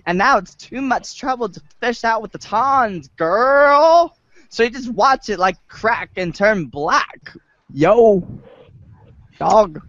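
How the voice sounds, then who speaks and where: A dry, slightly muffled amateur recording carries a man's take on a sassy teenage boy talking like a valley girl. The delivery drawls slowly and swoops up and down with exaggerated slangy flair, as in a comic reader's theater. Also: sits high, animated, fairly bright tone, no room sound, heavily acted. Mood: smug.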